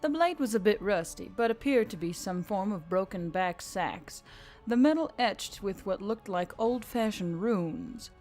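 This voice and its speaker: A woman's voice: mid-range voice, animated, unhurried, a little mellow, soft background music. A woman reading scripted action-fantasy fiction as an audiobook narrator.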